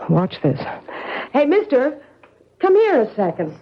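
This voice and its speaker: An actress playing a plucky young newlywed wife in a 1970s radio drama, game and spirited, joking lightly under pressure. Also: female voice, high-pitched, wildly swooping pitch, a little slow, slightly rough, full character voice. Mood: determined. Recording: dull, faintly hissy old radio broadcast.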